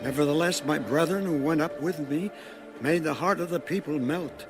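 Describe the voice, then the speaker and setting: An actor playing a steadfast eighty-five-year-old warrior in a solemn, measured dramatized Bible reading. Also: male voice, fairly high pitch, lightly acted. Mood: solemn, proud. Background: music.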